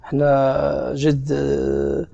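A man's voice: medium pitch, completely flat delivery, takes it slowly, creaky, warm tone. A man of about sixty with a Moroccan accent, earnestly and emphatically telling his own story in fairly formal Arabic as an interview guest. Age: around 60. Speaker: pilgrim interviewee.

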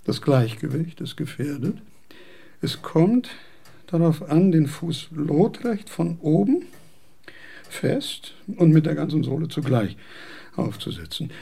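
Radio drama, eccentric old thinker, husky and dark-toned, deliberate, pedantic, impatient. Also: male voice, on the high side, big pitch swings, exaggerated voice. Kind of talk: radio play acting.

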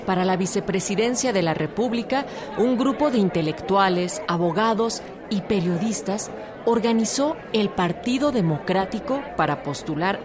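A woman with a Mexican accent reading scripted narration for a historical radio documentary. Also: medium pitch. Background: music bed.

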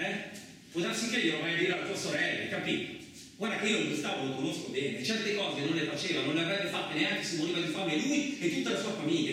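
An actor playing a rough, rambling male truck driver in a colloquial radio theatre monologue, with an earthy, agitated manner. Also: a little high, pushed and bright. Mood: indignant. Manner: earthy, agitated, rhetorical tags, swearing. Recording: echoey distant room, faint noise.

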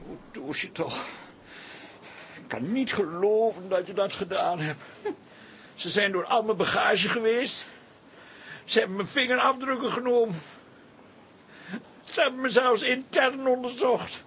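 Nervy complainer on holiday, bright edgy voice, radio play, bit of noise behind. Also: male voice, high-pitched, a little slow, long pauses. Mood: indignant. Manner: complaining, excitable. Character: grumbling, paranoid, nervy male holidaymaker. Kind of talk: Dutch radio comedy drama acting.

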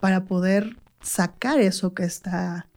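A woman's voice: on the low side, steady tone, unhurried, a little mellow. A woman with a Mexican accent, explaining ideas conversationally as the host of a radio interview.

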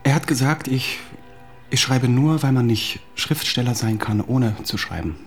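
An actor playing an insecure, brooding playwright husband in a naturalistic radio play, speaking haltingly and probing with wounded repetition. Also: male voice, mid-range voice, faint music behind, lightly acted. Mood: bitter, downcast. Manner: halting, probing, repeats words back accusingly.

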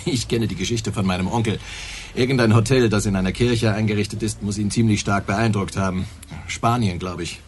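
An actor playing a laconic, matter-of-fact local police officer in a naturalistic radio crime play. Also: male voice, on the low side, fairly even intonation, brisk, a little hiss or hum, lightly acted. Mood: wry, laconic.

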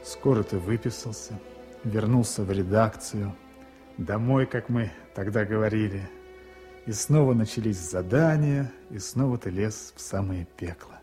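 An actor playing a loyal, tender frontline journalist, earnest and measured, in the style of a 1970s Soviet radio drama. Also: male voice, fairly low pitch, mellow, faint music behind, lightly acted. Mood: tender remembrance. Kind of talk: 1970s Soviet radio play acting and narration.

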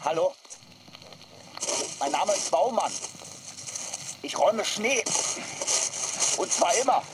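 An actor playing an odd, earnest man who endlessly clears snow, speaking in simple deadpan statements in a radio play. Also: high-pitched, slow, background noise.

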